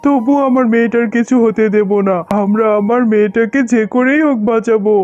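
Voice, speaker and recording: A man's voice: very high voice, steady tone, fairly quick, darkish tone, faint music behind. Man voicing a caring cartoon jackal husband, dull sound. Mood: reassuring, resolute. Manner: reassuring, earnest. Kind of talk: cartoon dialogue.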